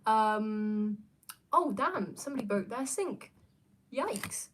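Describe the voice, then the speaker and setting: A woman's voice: medium pitch, animated, takes it slowly, a bit bright, a little hiss or hum. A young woman from New Zealand with an English accent, chatting casually and rambling through viewer comments with lots of fillers and restarts. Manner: rambling, self-deprecating, frequent fillers and restarts. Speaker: YouTuber reading viewer comments. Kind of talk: casual chat.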